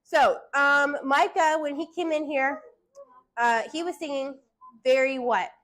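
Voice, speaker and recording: A woman voice teacher giving classroom singing instruction, hushing her students and checking in with "okay?" after nearly every point. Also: high voice, steady tone, measured pace.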